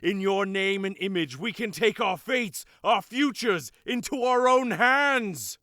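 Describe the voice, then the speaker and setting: A man's voice: sits high, animated, a little slow, bright, forceful tone, no echo, studio quality, full character voice. A man playing a fervent cult leader in an audio drama, solemnly declaiming a reverent invocation. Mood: reverent fervor. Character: fervent male cult leader praying at a dark altar.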